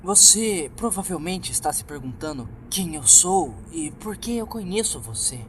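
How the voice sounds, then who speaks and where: Man voicing an earnest, troubled young witch, pitched up. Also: big pitch swings, measured pace, fairly bright tone, slight background noise, heavily acted. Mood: earnest, pleading. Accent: Brazilian. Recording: music bed behind the voice.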